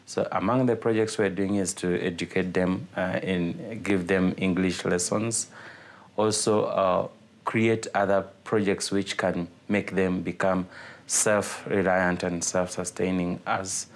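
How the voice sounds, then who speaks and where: Deep-voiced man, flat delivery. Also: a little slow. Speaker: refugee organisation representative. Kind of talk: interview explanation.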